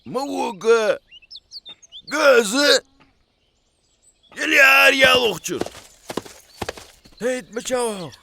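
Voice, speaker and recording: A man voicing an excitable male cartoon character with a creaky, bright voice. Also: extremely high pitch, slow pace, long pauses, a little hiss or hum, exaggerated voice. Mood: animated. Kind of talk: animated cartoon dubbing.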